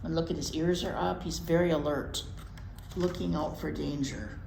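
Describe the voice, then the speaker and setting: A woman's voice: low voice, fairly even intonation, normal speed, creaky, a little mellow. A woman presenting storytime for young children, reading aloud and adding folksy, chatty asides with words repeated for emphasis. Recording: echoey room with background noise.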